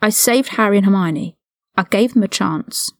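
A woman's voice: medium pitch, moderate pace, no echo, clean studio sound, lightly acted. A woman voicing a hot-headed, loyal eighteen-year-old male wizard prisoner with blunt, indignant, informal speech in a story reading. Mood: defiant, shaken.

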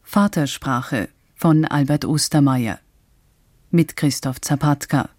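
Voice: mid-range voice, takes it slowly, a little mellow, dry recording, clean studio sound. An announcer reading scripted credits for a radio drama.

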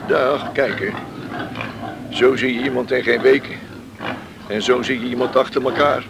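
An actor playing a plain-spoken, stoic, laconic pig farmer in a Dutch radio play. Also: male voice, medium pitch, fairly even intonation, normal speed, vocal fry, natural voice. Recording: noisy pigsty sounds behind.

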